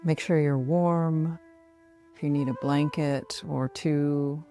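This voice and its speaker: A middle-aged woman guiding a relaxation meditation in a soothing, measured manner with long pauses. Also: sits low, steady tone, mellow, faint music behind. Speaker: yoga nidra meditation guide.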